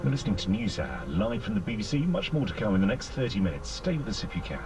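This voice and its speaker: Low-voiced male radio news anchor. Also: sing-song, far from the mic, loud music behind the voice. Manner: measured, formal delivery. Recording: radio broadcast heard from a moving car. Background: road noise.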